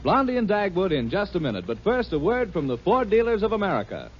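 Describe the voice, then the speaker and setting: A man's voice: high voice, very animated, fast talker, loud music behind the voice. A man announcing a 1950 radio sitcom in a hearty, showy manner. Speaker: show announcer. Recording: dull old radio broadcast.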